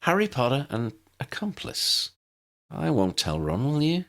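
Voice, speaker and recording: Man voicing an excitable young witch, pitched up. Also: a little slow, heavily acted. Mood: brisk, teasing.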